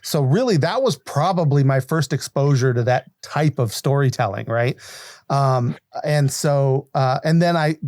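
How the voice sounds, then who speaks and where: A man's voice: medium pitch, lively intonation, measured pace, a little mellow, dry recording. Middle-aged man hosting, dry close sound. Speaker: podcast host. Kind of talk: casual chat.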